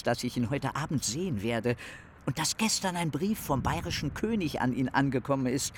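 An actor playing an eccentric, bookish young philosopher with a wry, self-important theatrical manner in a radio play. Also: male voice, mid-range voice, a little hiss or hum, natural voice. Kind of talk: dramatic reading of letters in radio play.

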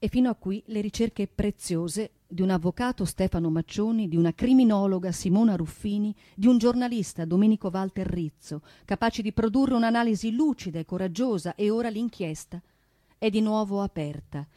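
Woman, stage narrator, dramatic monologue style, emphatic delivery, pointed pauses, mid-range voice, dry close sound. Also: medium pitch, dark tone, dry recording. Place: radio studio.

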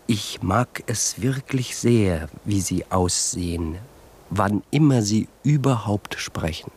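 An actor playing a languid, mocking, flirtatious gentleman in a radio drama, sardonic and insinuating. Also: on the low side, animated, slow pace, slight background noise. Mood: insinuating, seductive.

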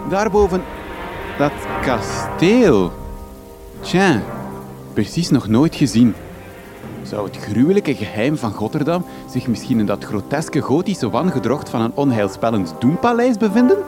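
An actor playing a naive, melodramatic young reporter with a Flemish accent in a gothic comedy radio play. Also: male voice, mid-range voice, big pitch swings, unhurried. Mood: wondering. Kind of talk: comic radio drama acting. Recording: music behind the voice.

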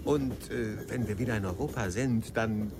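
An actor playing an evasive, courtly middle-aged newlywed husband in a radio drama, speaking formally and a little haltingly. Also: male voice, on the low side, heavy background noise, close to an everyday voice. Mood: courteous. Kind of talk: radio drama dialogue and confessional narration.

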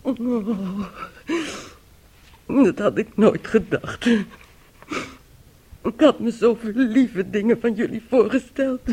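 Plaintive, husky young niece. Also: female voice, high-pitched, a little mellow, heavily acted. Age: young adult. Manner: plaintive, earnest. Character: wounded, naive young niece, tearful and indignant. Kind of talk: Dutch radio play acting.

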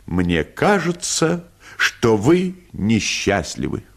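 A man's voice: on the low side, wildly swooping pitch, slow pace, creaky, heavily acted. A man voicing an earnest, pretentious lady playwright who declaims her melodrama in an affected manner. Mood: melodramatic.

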